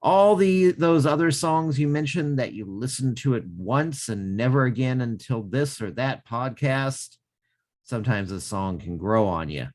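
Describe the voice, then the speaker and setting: A man co-hosting a casual music podcast, with a voice that swings widely up and down as he jokes. His pitch is mid-range for a man, and his delivery is playful and full of comic asides. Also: expressive, measured pace, a little mellow. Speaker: podcast co-host.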